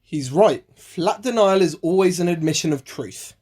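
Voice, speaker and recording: Dim but cocksure female sidekick played by an actress, low mellow voice, comic radio play delivery. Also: expressive, moderate pace. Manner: confidently wrong, comic. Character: dim, gullible, cocksure sidekick to an amateur sleuth. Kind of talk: amateur radio play acting.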